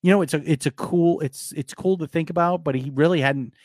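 A hoarse man hosting a live sports podcast, talking casually and rambling with restarts and fillers. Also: a little high, fairly quick, darkish tone, dry recording, clean studio sound.

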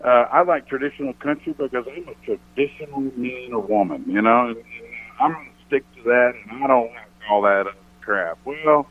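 A man with a deep voice, a country singer chatting casually as a radio guest, rambling thoughtfully with many restarts. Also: fairly quick, slight background noise. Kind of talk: casual radio interview chat. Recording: tinny phone-line sound.

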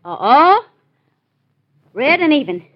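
High-voiced playful sidekick, old muffled radio. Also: female voice, sing-song, slow pace, exaggerated voice. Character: plucky, teasing young woman, sleuth's playful sidekick. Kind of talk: 1940s radio crime drama acting. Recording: muffled, noisy 1940s radio recording.

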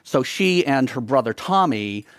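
A man's voice: medium pitch, animated, unhurried, dry recording, studio quality. A middle-aged man from the eastern United States, a co-author talking in a live onstage conversation, chatty and given to restarts.

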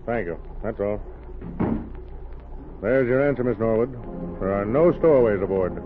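An actor playing a steady, formal ship's captain in a 1930s radio drama. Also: male voice, fairly low pitch, moderate pace, over music, lightly acted. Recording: tinny, noisy old radio recording.

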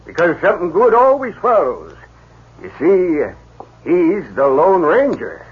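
Folksy frontier sheriff. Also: male voice, high-pitched, wildly swooping pitch, measured pace, slightly rough, full character voice. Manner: drawling, folksy and admiring. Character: folksy frontier sheriff, middle-aged, easygoing. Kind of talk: 1950s radio drama acting. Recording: tinny old radio broadcast.

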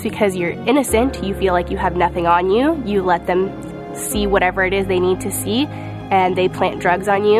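A woman from Venezuela who grew up in Texas, giving an earnest, measured personal testimony. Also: medium pitch. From born in Venezuela, raised in Texas, United States. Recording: music playing behind the voice. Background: background music.

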